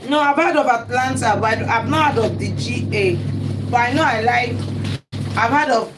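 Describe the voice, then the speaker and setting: A woman's voice: a little high, normal speed. A woman hosting a chatty live stream, talking to viewers and repeating calls to like and subscribe. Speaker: host. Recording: loud background noise with passing vehicles.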